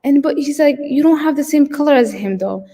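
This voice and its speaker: A woman telling her own stories at a conference over a video call. She speaks haltingly, circling back with fillers and restarts. Her voice rings out with a long, hall-like reverb. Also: high-pitched, animated, dark tone. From Somalia. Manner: hesitant, many fillers and restarts. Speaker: keynote storyteller. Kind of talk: personal storytelling.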